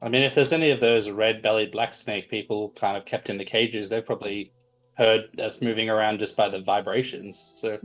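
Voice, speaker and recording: A man's voice: lowish voice, steady tone, fairly quick, a bit bright, soft background music, rough recording. A man playing a tabletop roleplaying game, talking casually in a wordy, dryly pedantic way. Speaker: player.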